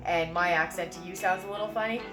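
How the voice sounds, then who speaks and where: A woman's voice: lowish voice, quick pace, over music, rough recording. A Canadian woman giving an informal accent tutorial, rambling as she sounds out words and exaggerates vowels. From Saskatchewan, Canada. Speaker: amateur accent tutor, actress.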